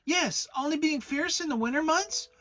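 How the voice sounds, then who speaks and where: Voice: high voice, normal speed, pushed and bright, pitched above the performer's own voice. A narrator voicing a small, timid, anxious male piglet with a hesitant stammer, in a children's storybook reading. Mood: nervous.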